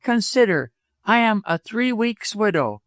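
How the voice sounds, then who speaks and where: A man voicing a proud, agitated, quick-tempered young widow in an audiobook, speaking emphatically and imperiously. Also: high voice, very animated, fairly bright tone, dry recording, studio quality, pitched above the performer's own voice, heavily acted. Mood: resolute, agitated. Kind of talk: dramatized audiobook reading.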